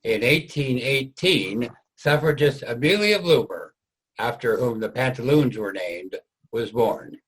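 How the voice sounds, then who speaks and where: A man giving scripted historical narration in a formal, measured way. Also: mid-range voice, lively intonation, slightly rough, poor audio.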